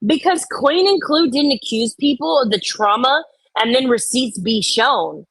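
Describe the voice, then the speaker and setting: A woman's voice: high-pitched, expressive, a little slow, fairly bright tone, husky, no echo, rough recording. A woman co-host giving casual commentary, reading comments out dramatically and reacting bluntly, with exasperation and free swearing.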